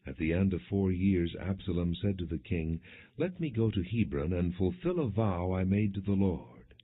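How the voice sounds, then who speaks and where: Low husky guy narrating bible audiobook, formal and measured, thin phone-like muffled sound. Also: expressive. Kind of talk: scripted scripture narration, non-dramatized reading.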